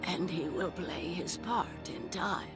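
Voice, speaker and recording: A slow, low-voiced dying oracle. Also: female voice. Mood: solemn, warning. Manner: slow, grave, breathy and weakened. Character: solemn, dying female oracle giving cryptic guidance. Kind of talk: dramatic video game cutscene acting. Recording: loud music under the voice. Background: loud cinematic score.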